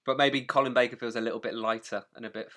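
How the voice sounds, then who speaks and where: A man's voice: medium pitch, fairly even intonation, fast talker, a bit bright. A man co-hosting a podcast, chatting informally and giving blunt opinions with some swearing. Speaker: podcast co-host. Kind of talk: casual chat, opinionated review.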